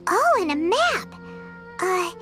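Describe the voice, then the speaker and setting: A tiny game companion creature, squeaky and childlike. The voice is extremely high and swings in a lively, chirpy way, curious and encouraging. Clear music plays behind it. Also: female voice, takes it slowly, full character voice. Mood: puzzled, curious. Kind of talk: video game character acting.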